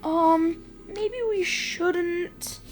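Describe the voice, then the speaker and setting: Preteen boy, played by a woman: squeaky-high, unhurried, goofy and kind-hearted, exuberant audio drama read. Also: steady tone, faint music behind, full character voice. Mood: uneasy. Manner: childlike, exuberant, rambling.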